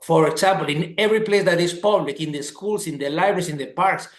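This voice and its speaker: A man's voice: fairly high pitch, fast talker, roomy sound. A man from Colombia hosting a webinar over a video call, talking with enthusiasm and stressing points by repeating words. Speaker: webinar host.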